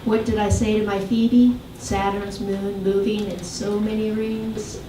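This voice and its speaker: A woman giving a poetry reading, reciting with deliberate, dramatic phrasing and emphatic lists. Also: medium pitch, level tone. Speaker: poet. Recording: echoey room, distant mic, faint noise.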